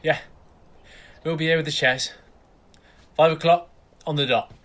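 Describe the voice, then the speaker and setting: Friendly, highish-voiced male neighbour. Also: steady tone, unhurried, a bit bright. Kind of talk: naturalistic audio drama acting.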